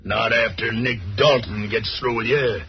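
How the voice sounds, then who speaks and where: A man's voice: mid-range voice, fairly even intonation, a little slow, lightly acted. An actor playing a menacing outlaw henchman in an old radio western. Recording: dull, noisy old radio recording.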